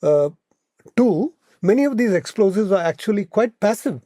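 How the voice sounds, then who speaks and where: A man with an Indian accent explaining things as a podcast guest, in a measured, halting way that often repeats words. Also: high-pitched, lively intonation, mellow, no echo, clean studio sound. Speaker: podcast guest, policy analyst.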